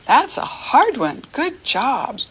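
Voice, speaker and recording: A middle-aged American woman leading a read-along lesson for young children, encouraging and teacherly, pausing for the child to answer. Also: high-pitched, sing-song, a bit bright, breathy edge, poor audio.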